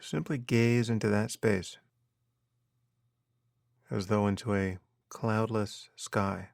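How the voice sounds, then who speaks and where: A man guiding a meditation as a teacher, giving measured instructions with unhurried pauses. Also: lowish voice, steady tone, vocal fry, no room sound, clean studio sound. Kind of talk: guided meditation narration.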